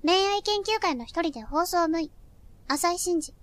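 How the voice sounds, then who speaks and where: A woman as a very high-pitched, bossy schoolgirl. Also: expressive, moderate pace, no echo. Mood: brisk, explanatory. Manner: bossy, teasing. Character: brisk, sharp-tongued teenage senior schoolgirl. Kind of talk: anime-like audio drama acting.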